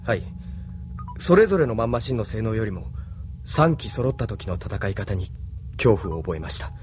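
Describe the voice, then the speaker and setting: A man's voice: lowish voice, expressive, normal speed, lightly acted. An actor playing a serious young male ace pilot reporting and giving orders. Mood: earnest.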